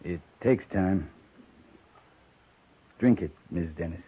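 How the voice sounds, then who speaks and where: An actor playing a firm, plain-spoken cavalry captain, stern but compassionate, terse and measured in a 1950s radio Western. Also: male voice, low voice, breathy edge, exaggerated voice. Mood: grave, gentle. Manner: terse, measured, matter-of-fact. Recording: noisy, narrow-band 1950s radio broadcast.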